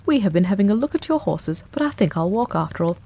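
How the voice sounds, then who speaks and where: A woman doing a keen, brisk detective man. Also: mid-range voice, very animated, vocal fry, dark tone. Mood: cool. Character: brisk, keen-minded male detective, cool and confident. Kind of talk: audiobook character reading.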